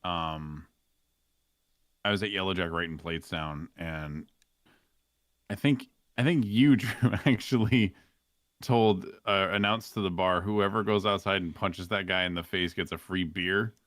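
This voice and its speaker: A man's voice: sits low, steady tone, unhurried, a bit bright, no room sound, clean studio sound. A man chatting casually as a podcast guest, telling gaming stories. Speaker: podcast guest, game roleplay streamer. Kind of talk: casual storytelling chat.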